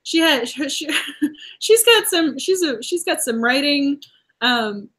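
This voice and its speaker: Woman host from boston area, chatty, laughs a lot, higher voice, on a video call. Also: lively intonation, slow, a bit bright. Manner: chatty, laughs often, restarts while avoiding spoilers. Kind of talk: casual video chat about books.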